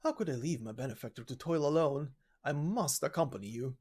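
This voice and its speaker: A man voicing a polite, old-fashioned, fretful but genial gentleman traveler from a game story, speaking in formal, theatrical phrases. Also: medium pitch, expressive, a little fast, breathy edge. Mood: courteous, eager. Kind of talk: video game story voice acting.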